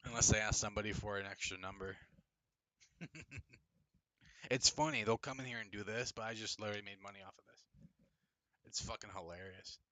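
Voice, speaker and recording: Young male streamer, slangy and scornful, bright lower voice, dry close mic. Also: steady tone, fairly quick, pauses often, no echo. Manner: mocking, laughing often, profane, slangy. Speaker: livestream host. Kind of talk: casual livestream chat reading comments. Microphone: desk microphone.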